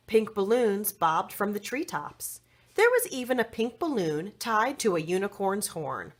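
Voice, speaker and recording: A woman narrates a children's storybook with a very lively, swooping melody. Her delivery is deliberate and expressive, pitched in an ordinary female register. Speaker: story reader.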